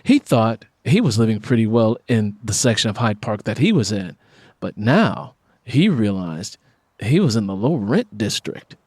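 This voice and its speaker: A man's voice: on the low side, sing-song, normal speed, darkish tone, breathy edge, no echo, studio quality. A man reading scripted fiction as an audiobook narrator.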